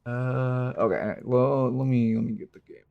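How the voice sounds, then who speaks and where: A man talking casually on a live stream into his streaming microphone. He thinks aloud, swears now and then, and speaks in a low voice with high inflections. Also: fairly even intonation, moderate pace, gravelly, no room sound, phone-quality sound. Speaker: game coach and streamer.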